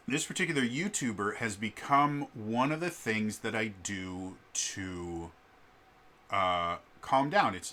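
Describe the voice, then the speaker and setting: Stammering man venting in a vlog. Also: fairly low pitch. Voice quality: loud voice. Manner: repeats word starts, stammering, many fillers. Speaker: vlogger. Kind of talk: ranting casual vlog monologue.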